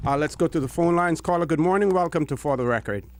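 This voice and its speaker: A man's voice: a little high, quick pace. A man in his sixties hosting a radio phone-in from a studio, courteous and brief, close on the microphone. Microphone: close broadcast microphone, headset on.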